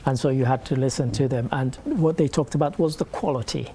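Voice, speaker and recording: A man's voice: medium pitch, brisk, dark tone, a little hiss or hum. A man hosting a studio news review, talking in a thoughtful, hesitant way with many fillers. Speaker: host. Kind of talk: studio discussion.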